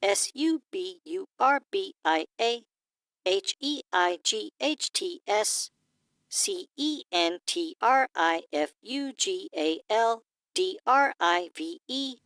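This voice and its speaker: Voice actor as slow, clear female speller. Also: medium pitch, animated, fairly bright tone, dry recording, studio quality. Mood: careful, methodical. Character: deliberate speller, sounding out each letter. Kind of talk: audiobook character acting.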